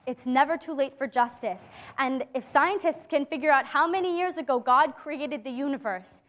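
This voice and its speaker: A woman's voice: high-pitched, normal speed, fairly bright tone. A young woman acting an idealistic, reassuring teenage girl diarist in a staged dramatic reading. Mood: firm, consoling. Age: young adult. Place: conference stage before a seated audience. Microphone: lapel mic.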